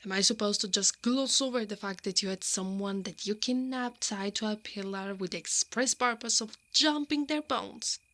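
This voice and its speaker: A woman acts out a brave lady knight in an intimate ASMR roleplay. She is brash, protective and quick to exasperation, with a mid-pitched voice. Her defiance tends to trip into flustered stammering that betrays a hidden soft spot. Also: natural voice.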